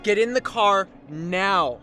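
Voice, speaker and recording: A man's voice: high voice, slow, bright, forceful tone, faint music behind, exaggerated voice. An actor playing an agitated, bossy man in a short film drama. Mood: urgent.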